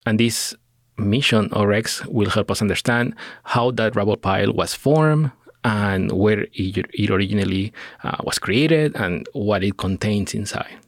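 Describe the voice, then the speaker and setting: Scientist guest: middle-aged man, lowish voice, dry close mic, halting with fillers. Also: dry recording. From Peru. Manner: hesitant, many fillers and restarts. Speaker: astrochemist guest. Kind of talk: podcast interview.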